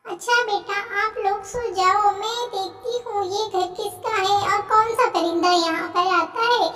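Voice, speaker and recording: A woman voicing a gentle, pleading mother sparrow for a moral-story cartoon, earnest and polite. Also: very high-pitched, measured pace. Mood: worried, resolved. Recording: roomy echo, distant from mic.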